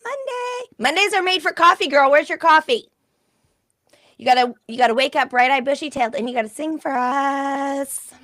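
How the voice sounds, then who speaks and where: Chatty woman with a gravelly voice. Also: high voice, normal speed. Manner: chatty, animated, self-described extrovert. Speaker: podcast co-host. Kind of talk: casual chat.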